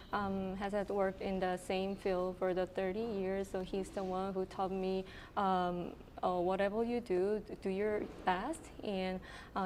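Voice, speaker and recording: A woman from South Korea in her thirties speaks with a Korean accent at a middle pitch. She answers slowly and thoughtfully, pausing on ums and you-knows. A faint hiss of background noise sits under her voice. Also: a little mellow. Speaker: internal medicine resident. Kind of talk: interview answers.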